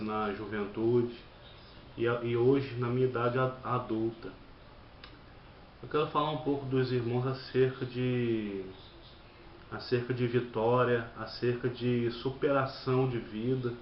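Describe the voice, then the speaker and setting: Halting man giving a church testimony. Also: medium pitch, a little hiss or hum. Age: 30s. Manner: halting, repeats words and restarts phrases. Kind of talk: personal testimony. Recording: dull lo-fi audio in an echoey room.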